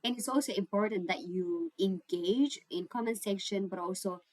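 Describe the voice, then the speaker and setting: A woman's voice: mid-range voice, normal speed, no room sound, rough recording. A woman with an Ethiopian accent chatting informally to camera as a host giving guidance, repeating phrases for emphasis. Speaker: YouTube host giving career and scholarship guidance. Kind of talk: casual chat to camera. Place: indoors, homemade home recording setup. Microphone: separate microphone.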